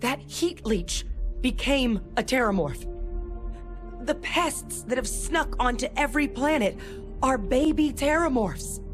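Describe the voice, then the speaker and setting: An actress playing an excitable scientist woman in a video game, full of awe and alarm at discoveries, with music underneath. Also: high voice, measured pace. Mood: shaken, urgent.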